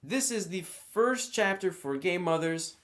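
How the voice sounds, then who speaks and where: A man's voice: sits high, expressive, a little slow, a bit bright, soft background music. Male reviewer, conversational and opinionated, talking through a rulebook off the cuff. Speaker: tabletop game book reviewer. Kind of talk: casual unscripted review commentary.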